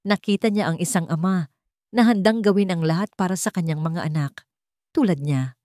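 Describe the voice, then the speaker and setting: A woman's voice: fairly low pitch, moderate pace, mellow, no room sound, studio quality. A woman narrator reading a scripted romantic drama in a storytelling style.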